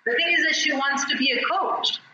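A woman voice coach tells animated stories in an interview. Her tone is very bright and a bit dull in band. She sounds distant in a very echoey space. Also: high-pitched, fairly quick, a little hiss or hum. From Argentina. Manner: animated storyteller who demonstrates voices. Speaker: voice and presence coach. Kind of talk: podcast interview guest.

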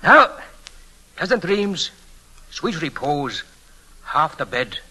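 An actor playing a cocky, flattering young charmer with sly menace, folksy and mock-humble, in old-time radio drama style. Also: male voice, a little high, slow pace, full character voice. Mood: jaunty, fawning. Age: young adult. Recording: vintage radio recording, clear background noise.

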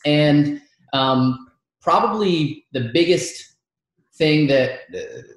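A man's voice: on the high side, expressive, drawn-out words. A man in his thirties from Georgia, whose first language is Arabic, telling his story as a podcast guest with a chatty, laughing manner. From Georgia, United States. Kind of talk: casual storytelling interview. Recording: very echoey room.

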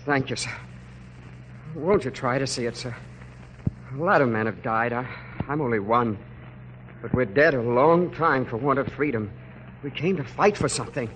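A young man playing a sick, desperate soldier, earnest and halting, in a 1940s American radio drama. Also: mid-range voice, a bit bright, lightly acted. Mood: halting, pleading. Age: young adult. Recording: noisy vintage 1940s radio recording.

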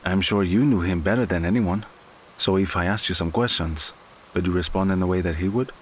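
A man acting a gentle, patient friend in an audio drama, consoling in a measured, coaxing way. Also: deep voice, natural voice. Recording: clear background hiss.

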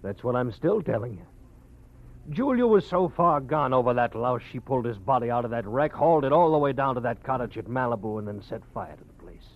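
An actor playing a cold, cynical man who gives orders to gangster muscle, smooth-talking in 1940s radio style. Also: medium pitch, lively intonation, fairly quick. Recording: dull lo-fi old radio recording.

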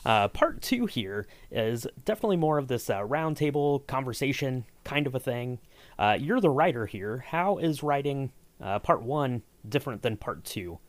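A man's voice: mid-range voice, very animated, moderate pace, no room sound. A man hosting a casual behind-the-scenes interview, chatty and explanatory, often checking in with the listener. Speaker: podcast host interviewing a writer.

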